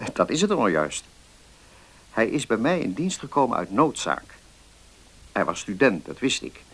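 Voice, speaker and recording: An actor playing a middle-aged notary in a Dutch radio play, measured and deliberate, kindly but firm. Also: male voice, fairly low pitch, a bit bright, breathy edge, heavily acted.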